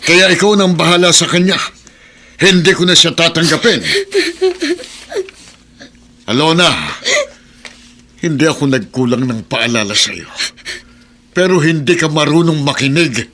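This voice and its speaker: A man's voice: on the high side, measured pace, long pauses, bright, forceful tone, a little hiss or hum. An actor playing a stern, disappointed old grandfather who admonishes in a Tagalog radio drama. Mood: stern, angry.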